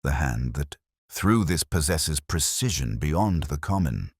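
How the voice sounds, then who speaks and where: A man's voice: extremely low pitch, animated, unhurried, husky, dry recording, studio quality, heavily acted. A man voicing a keen, coolly confident detective with eloquent, pompous phrasing in a storybook reading. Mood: absorbed fascination.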